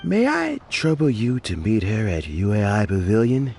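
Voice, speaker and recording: A man's voice: on the low side, sing-song, measured pace, vocal fry, darkish tone, slight background noise, full character voice. A man playing a genial, modest elderly official in a video game, courtly and hesitant in manner. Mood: hesitant, concerned.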